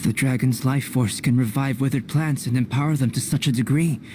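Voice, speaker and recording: A man's voice: medium pitch, lightly acted. Man playing young alchemist, composed, analytical, formal, video game cutscene, noisy background. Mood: calm, analytical. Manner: measured, formal, analytical delivery. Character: composed young male alchemist, calm, scholarly and detached. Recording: clear noise behind the voice.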